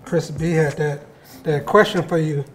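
A man's voice: fairly high pitch, unhurried. An American man in his thirties hosts a cooking show, chatting casually as he explains steps and asks questions. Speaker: cooking show host and chef. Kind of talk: casual cooking demonstration and interview chat. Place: home-style kitchen, indoors. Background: music.

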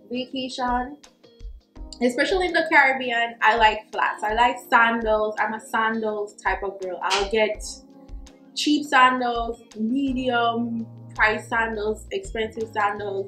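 A woman's voice: a little high, a bit bright, roomy sound. A young woman chatting casually as a fashion vlogger, emphatic and slangy, drawing words out for effect. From Jamaica. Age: young adult. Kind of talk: casual chatty lookbook commentary. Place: small furnished room at home, indoors. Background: faint music.

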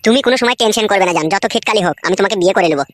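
A woman's voice: mid-range voice, rapid-fire, dry recording, lightly acted. A woman voicing a brash young man playing secret lover in a cartoon comedy, boastful and crude, with a rural West Bengal accent. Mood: wooing.

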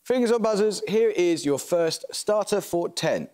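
A man, hosting a quiz show in a TV studio. He introduces the teams and reads the questions in a crisp, measured, formal way, with dry asides. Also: high voice, expressive, darkish tone. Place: large TV studio set, seated at a desk.

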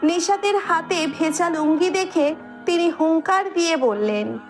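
A woman's voice: very high-pitched, unhurried, soft background music. A woman reading a scripted story as its narrator.

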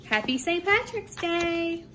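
A woman, a grade-school teacher speaking in measured, simple phrases as in a read-aloud for young children. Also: extremely high pitch, expressive, a bit bright. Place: small room at home, indoors. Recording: clear background room noise.

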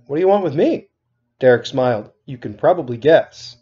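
A man's voice: medium pitch, animated, moderate pace, slightly rough. A middle-aged man, a teacher reading aloud to students from his couch at home. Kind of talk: read-aloud of a children's novel with casual introduction. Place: living room at home, on a couch. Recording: dull, lo-fi webcam sound.

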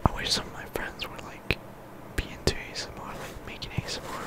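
A soft-spoken ASMR creator, sick at the moment, whispering a casual, earnest ramble full of slang and fillers. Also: quick pace. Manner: earnest encouragement.

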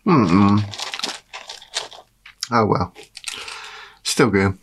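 A man in his forties with a raspy, slightly hoarse voice, chatting casually while eating a meal on camera. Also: fairly low pitch, animated, drawn-out words, background noise. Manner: chatty, eats and talks with mouth full. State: hoarse from a cold. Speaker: food vlogger. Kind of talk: casual mukbang chat. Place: dark room at a table.

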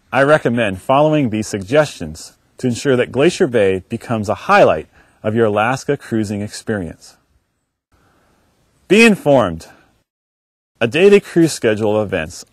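A man working as a park ranger, giving visitors upbeat scripted advice in a guide's delivery. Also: mid-range voice. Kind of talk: scripted informational narration.

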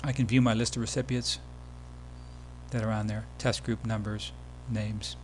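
A man giving a casual, step-by-step software tutorial as the presenter of a screen demo. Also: lowish voice, flat delivery, normal speed, poor audio. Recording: background hum and noise.

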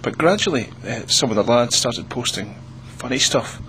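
A man's voice: mid-range voice, animated, moderate pace, fairly bright tone, natural voice. A man playing a remorseful British addict giving a hesitant, earnest confession in a mock documentary interview. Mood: rueful, confessing. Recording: noisy interview with hum.

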